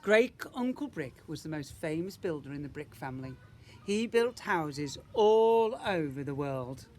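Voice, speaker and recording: A man tells a picture-book story in the manner of an infant teacher. His pitch swoops widely and key words are stressed. There is faint noise in the background. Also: animated, measured pace, darkish tone. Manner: emphatic, with rhetorical questions and asides. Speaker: teacher reading to young children.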